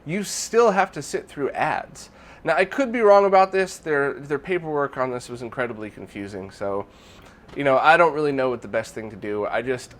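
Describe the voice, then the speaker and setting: A man hosting a casual viewer Q&A, sounding nasal and congested from a recent illness, rambling with lots of fillers and restarts. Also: medium pitch. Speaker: channel host. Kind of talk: casual Q&A monologue.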